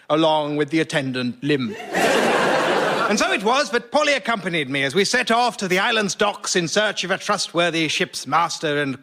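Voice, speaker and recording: An actor playing a pompous, cheerfully oblivious gentleman adventurer, telling a florid old-fashioned tale in a radio sketch. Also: high-pitched, background noise. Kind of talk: comic storytelling, radio sketch.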